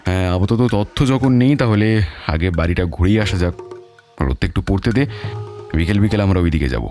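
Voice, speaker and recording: Man acting male detective, deep voice, calm, authoritative, measured delivery, audio story, faint music behind. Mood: calm, decisive. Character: calm, commanding male detective, an elder-brother mentor.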